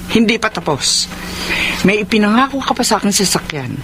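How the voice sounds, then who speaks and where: A woman's voice: medium pitch, very animated, fairly quick, background noise, lightly acted. A woman playing a bitter, demanding middle-aged mother in a radio drama, accusing and confrontational, full of reproachful questions. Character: bitter, demanding mother who guilt-trips and resents. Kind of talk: radio drama acting in Filipino.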